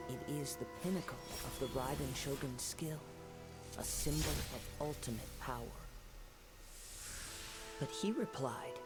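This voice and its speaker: An actor playing a young, melancholy wandering samurai in a video game, speaking in measured, poetic, formal phrases. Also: male voice, mid-range voice, natural voice. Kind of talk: video game character dialogue. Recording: game audio under loud music.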